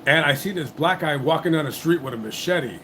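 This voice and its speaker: Guy rambling on his livestream, cusses a ton, kinda high voice, bit of hiss behind. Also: fairly quick, a bit bright. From United States. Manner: rambling, swears a lot, many restarts. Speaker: livestream host. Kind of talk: casual livestream chat.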